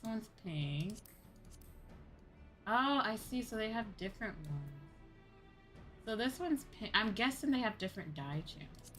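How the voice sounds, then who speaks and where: A woman livestreaming a game in casual, excitable chatter, often exclaiming as she talks. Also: mid-range voice.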